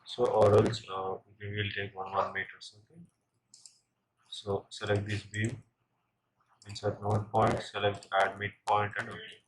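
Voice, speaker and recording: A man giving a step-by-step software tutorial in a halting way, often checking in with the listener. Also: lowish voice, monotone. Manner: halting, with frequent check-in tags. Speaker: software instructor. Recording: noisy, with keyboard typing and clicks.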